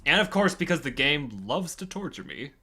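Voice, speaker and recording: A man's voice: fairly high pitch, sing-song, moderate pace, sharp tone, a little hiss or hum. A man chatting casually with fellow co-hosts of a livestream on a group video call. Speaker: livestream co-host.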